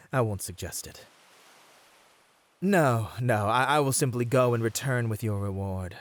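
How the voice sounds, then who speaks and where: A man playing a merman siren in an ASMR roleplay, speaking in courteous, formal phrases as a seemingly gentle creature with a predatory streak. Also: medium pitch. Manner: soft, courteous, formal, halting speech. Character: male merman siren, feigning gentle innocence, secretly predatory.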